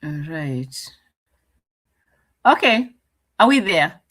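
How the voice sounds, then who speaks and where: A woman's voice: fairly low pitch, very animated, very slow, fairly bright tone. A woman commenting casually on a clip, translating and mocking with frequent laughs.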